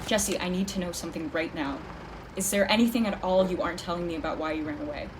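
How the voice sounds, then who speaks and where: A woman playing a blunt, wary female private detective in an audio drama, measured and commanding in her delivery. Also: on the low side, background noise, natural voice. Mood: serious. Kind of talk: audio drama acting with noir narration.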